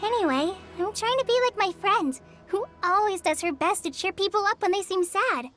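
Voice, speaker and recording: A woman voicing an earnest, kindly young trainee forest ranger in an anime game, gentle and reassuring. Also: very high voice, animated, moderate pace. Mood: helpful. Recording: music under the voice.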